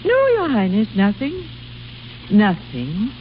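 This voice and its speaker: A woman's voice: mid-range voice, huge pitch swings, takes it slowly. An actress playing a fussy, disapproving but obedient lady-in-waiting in a vintage radio comedy, prim and deferential. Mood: grudging obedience. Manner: prim, deferential, hesitant with superiors. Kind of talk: 1930s-40s radio comedy acting. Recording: dull, noisy old radio broadcast.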